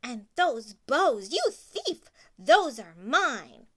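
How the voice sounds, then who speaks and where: A woman doing shrill squealing stepsisters. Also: very animated, drawn-out words, full character voice. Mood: shrill, angry. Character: shrill spoiled stepsisters, young women.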